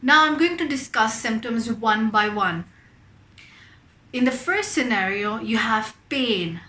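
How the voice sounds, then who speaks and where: A young adult woman, a native Urdu speaker, teaching an online lesson. She explains slowly and clearly in English, then says quick Urdu example phrases. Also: fairly high pitch, expressive, sharp tone, roomy sound. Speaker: language teacher.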